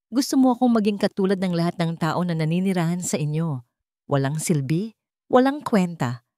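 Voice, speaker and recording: A woman's voice: on the low side, a little mellow, no room sound, lightly acted. Casting: meek young heroine of an audio romance acted by a woman narrator, apologetic, wounded, pleading, dry close sound. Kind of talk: dramatic audio love story.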